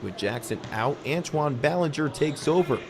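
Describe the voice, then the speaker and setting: A man's voice: fairly high pitch, lively intonation, normal speed, creaky. Male sports reporter over noisy background. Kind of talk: scripted news report. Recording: noisy gym ambience behind. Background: bouncing basketballs, ambient noise.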